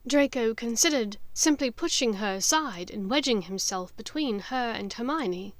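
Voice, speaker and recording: A woman's voice: a little high, lively intonation, moderate pace, no echo. A woman reading scripted fiction as a dramatic audiobook narrator.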